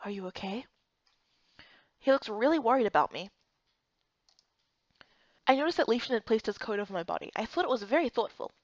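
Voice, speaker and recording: Lady reading a fantasy story out loud, high voice, really swoopy delivery, dry but kinda dull recording. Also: sing-song, fast, long pauses, no room sound. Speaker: narrator and voice actor for a visual novel playthrough. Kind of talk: expressive first-person scripted narration of a fantasy story.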